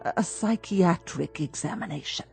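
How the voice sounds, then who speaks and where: A woman acting in a radio drama as a professional doctor with a measured, clinical manner. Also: fairly low pitch, vocal fry, darkish tone, soft background music. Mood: measured, tactful.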